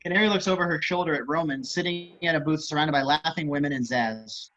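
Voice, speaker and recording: Bright, high-voiced man reading stage directions. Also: fast talker. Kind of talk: screenplay table read narration. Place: group video call. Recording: slightly dull video-call audio.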